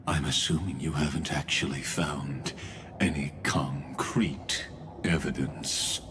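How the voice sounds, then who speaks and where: A man playing a cold, calculating machine-bodied villain in a video game, speaking in a formal, measured, smug way. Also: rumbling low, fairly even intonation, background noise. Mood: calm, smug. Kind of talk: scripted video game dialogue. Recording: loud background music.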